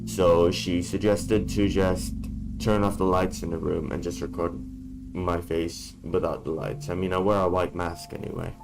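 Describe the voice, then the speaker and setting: A man with a very low voice talks straight to camera, blunt and given to casual swearing. His voice sounds worn from being sick, and music plays clearly behind him. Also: fairly even intonation, normal speed, rough recording. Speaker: YouTuber. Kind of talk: casual talking-head vlog. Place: dark room indoors.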